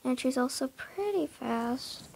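Young woman with a creaky, husky voice. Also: sits high, lively intonation, slow pace, a little mellow, poor audio. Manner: hesitant, lots of fillers, drops into whispers. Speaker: gamer narrating her horse barn. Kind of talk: casual game commentary.

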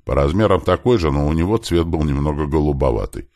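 A man's voice: very deep voice, animated, quick pace, a little mellow. A man reading a fiction audiobook, narrating a scripted first-person story with lightly voiced dialogue.

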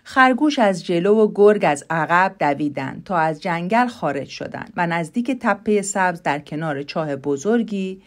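A woman storyteller narrating a scripted fairy tale for a podcast in an expressive, measured manner. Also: fairly low pitch.

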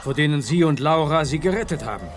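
An actor playing an authoritative, cautious scientist in a children's sci-fi radio play, measured and explanatory. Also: male voice, a little high, lively intonation, soft background music, close to an everyday voice. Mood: thoughtful, cautious.

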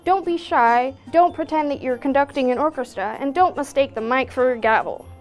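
A young woman with cochlear implants giving a plain testimonial and clear tips into a handheld mic. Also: high voice, brisk, faint music behind. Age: young adult. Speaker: interviewee and presenter.

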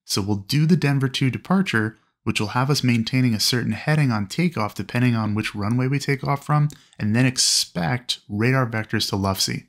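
A man narrating instruction in a clear, explanatory way. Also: medium pitch, moderate pace, hardly any pauses, fairly bright tone.

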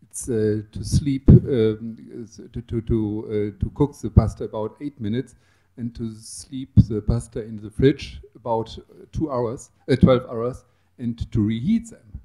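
Very dark-voiced man asking a question. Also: mid-range voice, steady tone, measured pace. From Germany. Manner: hesitant, searching for words. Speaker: audience member asking a question.

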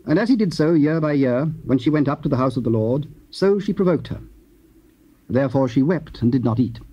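A man giving solemn, measured, formal scripted narration of scripture, recorded on cassette tape. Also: medium pitch, animated, mellow, breathy edge. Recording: dull cassette tape transfer.

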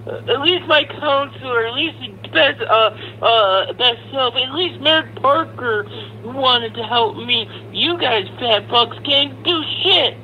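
A man's voice: very high voice, a little slow, lo-fi sound, a little hiss or hum. A man giving an angry, profane rant in an aggrieved, sarcastic manner. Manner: aggrieved, sarcastic, foul-mouthed. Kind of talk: angry, profane rant to camera and recorded audio.

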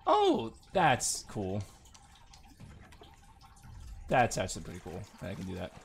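A man's voice: huge pitch swings, unhurried, creaky. A man giving casual, rambling gameplay commentary with joking asides to viewers. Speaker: gamer recording a playthrough. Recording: noisy, uneven low-level home recording.

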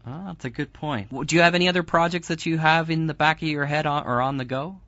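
A man's voice: fairly high pitch, fast, sharp tone, no room sound. A man hosting a casual radio interview show, speaking as the interviewer. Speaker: host, interviewer. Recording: dry but dull, lo-fi.

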